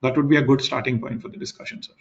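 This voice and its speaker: A man with an Indian English accent, a professor moderating a webinar, a little croaky, polite and discursive with many fillers. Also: mid-range voice, steady tone, rapid-fire. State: slightly croaky after long teaching. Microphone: video call. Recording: dull lo-fi video call audio.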